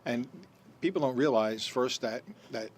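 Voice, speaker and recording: A man's voice: mid-range voice, unhurried, a little hiss or hum. A man hosting a radio health talk show, asking rambling questions with many uhs. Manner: friendly, rambling questions with fillers. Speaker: radio show host, doctor.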